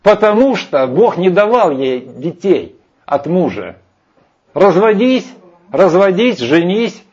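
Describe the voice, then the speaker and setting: A man's voice: high voice, big pitch swings, slow, darkish tone, breathy edge. A man arguing emphatically in a heated discussion, rambling with hesitations. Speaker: speaker lecturing on Vedic scripture. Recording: dull lo-fi sound.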